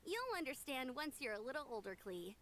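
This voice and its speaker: A woman's voice: high voice, sing-song, fairly quick, fairly bright tone, slight background noise. A woman voicing a caring, sensible young woman with a reassuring big-sisterly manner in a video game. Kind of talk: animated video game voice acting.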